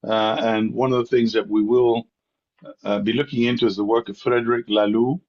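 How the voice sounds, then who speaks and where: A man's voice: medium pitch, little pitch movement, normal speed, lo-fi sound. A man leading an online course session over a video call, talking with hesitant fillers. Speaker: course instructor. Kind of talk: leading an online class discussion.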